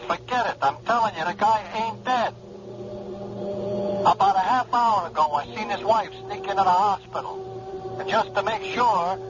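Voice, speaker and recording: A high, sneering gangster on the phone. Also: male voice. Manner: tough street slang, boastful. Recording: dull old radio recording.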